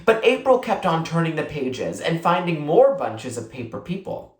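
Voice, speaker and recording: A narrator reading a children's book aloud in an expressive storytelling style. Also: medium pitch, normal speed. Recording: roomy, echoing sound.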